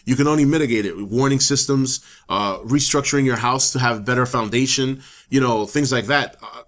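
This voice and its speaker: A man hosting his own channel, talking casually to camera, a little hoarse from the flu, rambling with many fillers and restarts. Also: medium pitch, brisk, fairly bright tone. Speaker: channel host. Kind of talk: casual opinion talk to camera.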